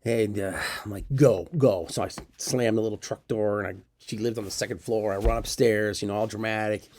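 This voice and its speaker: A man telling a personal story in an emotional, self-mocking way, with sudden jumps in pitch. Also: steady tone, fairly quick, darkish tone.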